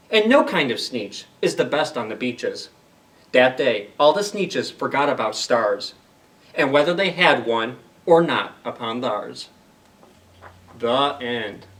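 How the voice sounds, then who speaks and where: A man reading a storybook aloud to children in an animated way, pausing to explain simply and ask questions. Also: medium pitch, distant mic. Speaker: library story time reader.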